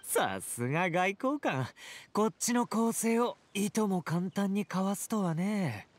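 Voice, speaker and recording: An actor playing a teasing, playful young fighter in a Japanese game, casual and smooth-talking with an edge. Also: male voice, sits high, lively intonation, slow pace, a little hiss or hum. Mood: amused. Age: young adult. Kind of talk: Japanese anime-game voice acting.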